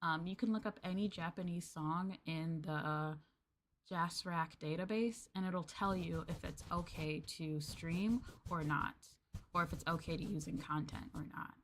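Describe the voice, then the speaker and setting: A woman's voice: fairly low pitch, normal speed, a little hiss or hum. A woman streamer chatting casually with her viewers, addressing them as Masters and filling her talk with ums and likes. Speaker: VTuber streamer.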